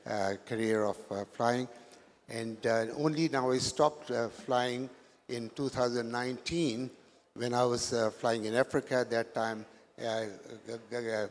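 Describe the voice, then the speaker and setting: Older male guest speaker from Pakistan, halting and searching for words, mid-pitched voice, reverberant hall sound. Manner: hesitant, many fillers and restarts. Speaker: guest speaker, retired pilot. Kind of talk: halting live stage interview. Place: large event hall. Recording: long hall reverb, faint noise.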